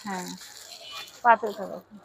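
A woman's voice: mid-range voice, steady tone, moderate pace, gravelly, a little hiss or hum, poor audio. A woman with a Bangladeshi accent hosting a casual product showcase, chatting with a seller, asking short questions and repeating what she hears. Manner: repeats prices and phrases, asks short questions. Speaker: video host presenting products.

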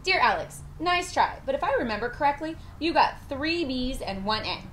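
A woman's voice: high voice, expressive, moderate pace, a bit bright. A woman in her thirties reading a children's picture book aloud, recorded on a porch from a few feet away. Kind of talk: storybook read-aloud, letters in character. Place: covered porch with patio furniture. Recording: ambient noise on a porch.

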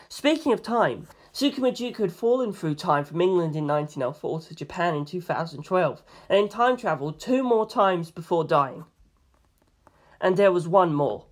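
Story narrator, mid-range pitch, reading first-person fiction audiobook-style.

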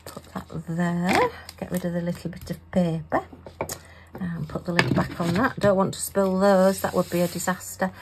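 A middle-aged woman talking casually to herself while crafting, her voice going hoarse. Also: lowish voice, normal speed, background noise. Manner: chatty, talks to herself. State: losing her voice. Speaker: craft vlogger.

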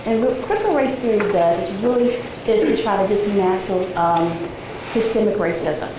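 A woman in her forties giving an academic talk to a seated audience, soft-spoken and conversational with frequent ums, heard from a distant camera in a large seminar room. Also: medium pitch, normal speed. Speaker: guest lecturer. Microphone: far camera, no visible mic. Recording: echoey room, noisy background.

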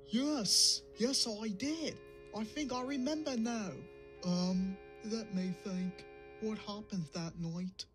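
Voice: mid-range voice, animated, slow, fairly bright tone, faint music behind. An actor voicing a forgetful, anxious cartoon diesel engine, apologetic and hesitant, prone to gasps. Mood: flustered recalling. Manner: hesitant, gasping, dithering. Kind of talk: cartoon comic dub dialogue.

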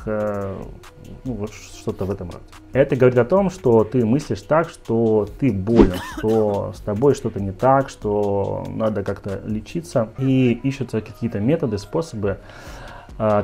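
A man's voice: on the low side, warm tone. A man in his thirties teaching as a speech coach in a home video lesson, earnest and direct, addressing the viewer with many fillers, on a lapel mic. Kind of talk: talking-head video lesson. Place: home office with shelves, indoors.

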